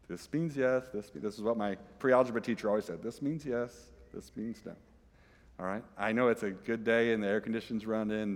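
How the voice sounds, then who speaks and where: A man's voice: fairly low pitch, big-room sound. A man preaching a sermon to his congregation, chatty and joking, often asking the listeners questions. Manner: conversational, jokey, asks the congregation questions. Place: church sanctuary.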